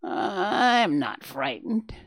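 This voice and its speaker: Man doing a raspy, grumpy little grasshopper. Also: high-pitched, very animated, drawn-out words, a bit bright, dry recording, pitched above the performer's own voice, heavily acted. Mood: startled. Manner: grumbling, brusque. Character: grumpy, nervy, busy male grasshopper.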